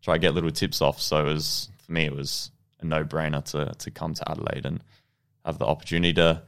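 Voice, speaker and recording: A young Australian man in his twenties, a guest telling his story in a relaxed, rambling way with lots of ums and restarts. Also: extremely low pitch, quick pace, clear, resonant tone. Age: 20s. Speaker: podcast guest, goalkeeper. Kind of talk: casual interview storytelling.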